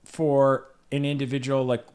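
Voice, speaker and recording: A man's voice: mid-range voice, level tone, moderate pace, darkish tone. A man with an American accent hosting a conversational interview podcast. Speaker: podcast host, lawyer.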